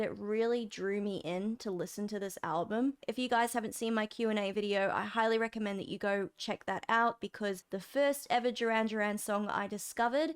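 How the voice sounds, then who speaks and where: A young woman hosting a music reaction channel, chatting brightly to camera in a slightly croaky voice. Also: medium pitch. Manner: bubbly, enthusiastic. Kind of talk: casual chat to camera.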